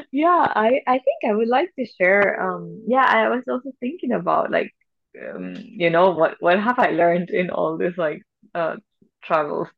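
A woman's voice: medium pitch, big pitch swings, a little slow, creaky, no echo, narrow, tinny audio. A woman in her thirties from Venezuela, co-hosting a podcast in casual chat, talking freely with many fillers and restarts. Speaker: podcast co-host.

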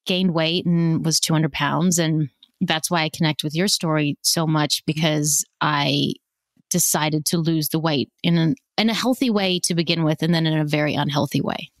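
A woman, a podcast guest speaking candidly and emotionally, often halting as she reflects. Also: low-pitched, no room sound, clean studio sound. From born in South Africa, raised in Australia. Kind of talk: candid personal conversation.

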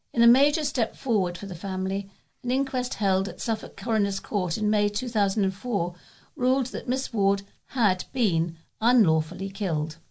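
A woman's voice: mid-range voice, a little mellow. A woman reading scripted local news as a volunteer newsreader.

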